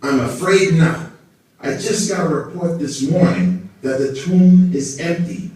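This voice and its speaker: A man's voice: on the high side, a little slow, darkish tone, close to an everyday voice. A man playing an evasive, self-important religious council member puppet, speaking formally in scripted show dialogue. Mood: scheming. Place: indoor hall, behind a puppet stage. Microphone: far camera in the audience. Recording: echoey room, distant audience camera.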